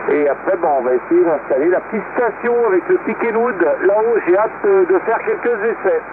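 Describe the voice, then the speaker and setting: A man's voice: sits high, a little fast. A man chatting casually as an amateur radio operator over shortwave, rambling and hesitant, with frequent tag words. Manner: hesitant, rambling, punctuated with "hein" tags. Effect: shortwave radio transmission. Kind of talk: casual ham radio chat with callsigns. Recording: narrow-band shortwave radio, clearly noisy. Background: radio static and noise.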